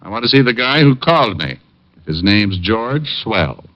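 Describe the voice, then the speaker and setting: Dry, wisecracking private eye. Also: male voice, fairly low pitch, big pitch swings, normal speed. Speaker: narrator and lead. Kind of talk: 1950s radio detective acting and narration. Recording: dull lo-fi old radio broadcast with faint music.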